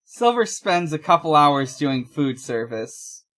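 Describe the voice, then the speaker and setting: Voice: medium pitch, sing-song, slow, fairly bright tone. A podcast co-host casually recapping a story in a hesitant, matter-of-fact way. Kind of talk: casual recap of a fan comic.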